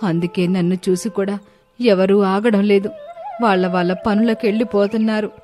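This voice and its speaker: A woman's voice: mid-range voice, steady tone, moderate pace, warm tone, faint music behind, lightly acted. A woman voicing a distressed, loving mother cow in a storybook tale, lamenting and pleading. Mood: worried, resolute.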